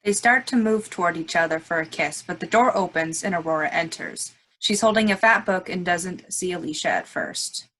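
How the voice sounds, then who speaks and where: A woman's voice: lowish voice, normal speed, few pauses, fairly bright tone, poor audio. A woman reading out stage directions as scripted narration at a play's table reading.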